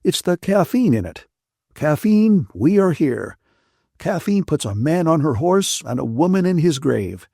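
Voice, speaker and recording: A man's voice: very animated, measured pace, vocal fry, mellow, slightly rough, dry recording, studio quality, full character voice. A man doing a wisecracking, mock-lecturing young man. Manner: mock-lecturing, bantering, high and lilting. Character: teasing, wisecracking young man, playful and mocking. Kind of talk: audiobook character voice.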